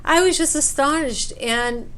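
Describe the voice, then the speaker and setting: A woman telling a personal story in a casual, chatty way, with an animated, informal manner. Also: high-pitched, unhurried. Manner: animated retelling, acts out quoted dialogue. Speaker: storyteller vlogger.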